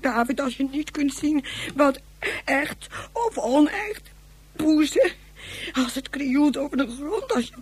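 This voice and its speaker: A woman's voice: high-pitched, no room sound. An actress playing a drunken, anxious mother in a science-fiction radio play, rambling and clinging. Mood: panicky. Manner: rambling, repetitive, slurred and dramatic.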